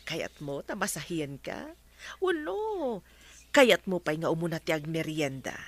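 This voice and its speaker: A woman's voice: deep voice, sing-song, measured pace, no echo, exaggerated voice. A woman acting a fond, generous lady in a comedy drama. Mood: coaxing, fond. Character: fond, generous soldier's widow or wife with a pension.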